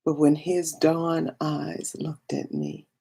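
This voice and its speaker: A woman voicing a wistful, awestruck woman of biblical times in a dramatic literary monologue, with hushed, reverent phrasing and a breathy, husky voice that often drops toward a whisper. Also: deep voice, level tone, takes it slowly, heavily acted. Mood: reverent, intense. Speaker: reader.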